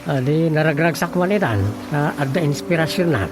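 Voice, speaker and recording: A woman's voice: sits low, normal speed, close to an everyday voice. An actress playing a chatty, gossipy village woman in a comedic radio drama. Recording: music bed behind voices.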